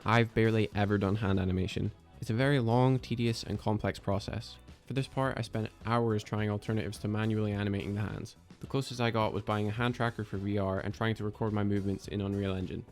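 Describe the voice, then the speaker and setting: A man giving a scripted voice-over about his own 3D animation project, in a measured, explanatory and lightly self-deprecating manner. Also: fairly low pitch, fairly even intonation, darkish tone, soft background music. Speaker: video creator and 3D animator.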